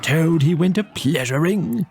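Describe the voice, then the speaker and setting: A man voicing an excitable, vengeful toad in a storybook, blustering with injured pride. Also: fairly high pitch, unhurried, pitched above the performer's own voice. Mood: furious. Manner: indignant, blustering. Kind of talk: storybook character voice in audio play.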